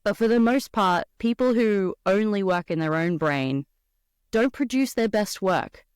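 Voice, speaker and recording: A woman's voice: medium pitch, a little slow, clear, resonant tone, dry recording, clean studio sound. A woman with an Australian accent, a voice actor chatting expressively as a podcast guest. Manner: animated, expressive storyteller. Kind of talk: casual podcast conversation.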